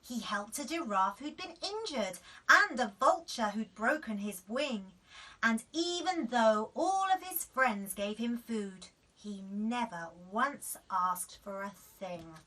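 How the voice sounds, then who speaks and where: A woman storyteller giving an expressive reading of a children's bedtime story. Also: on the high side, takes it slowly, far from the mic, low-quality recording.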